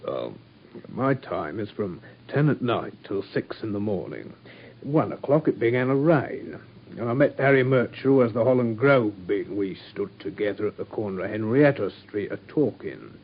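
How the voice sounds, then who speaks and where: Gruff police constable in a radio play, hesitant and rambling, dull lo-fi sound, faint hiss. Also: male voice, on the low side, lively intonation, moderate pace. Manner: hesitant, rambling, full of uhs. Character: gruff working-class London police constable, middle-aged. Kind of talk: radio drama.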